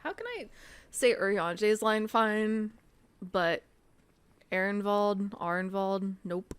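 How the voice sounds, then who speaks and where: A woman's voice: mid-range voice, slow, clean tone, no echo. A woman game streamer giving casual commentary during a playthrough.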